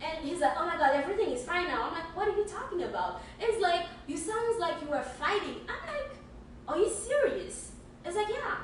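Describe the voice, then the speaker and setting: Fast-talking woman, high voice, echoey room. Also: expressive, recorded from a distance, slight background noise. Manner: fast talker, stammers and restarts. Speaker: podcast co-host. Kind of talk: casual chat.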